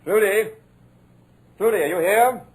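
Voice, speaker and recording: An actor playing a smooth, commanding radio producer with a sinister edge, in a 1940s radio drama. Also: male voice, high voice, a little slow, slight background noise. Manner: suave, commanding.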